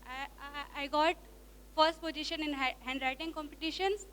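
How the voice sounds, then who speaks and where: A young woman with an Indian accent introducing herself quickly, stumbling a little. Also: high-pitched, steady tone, very noisy. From India, near Nepal border. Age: young adult.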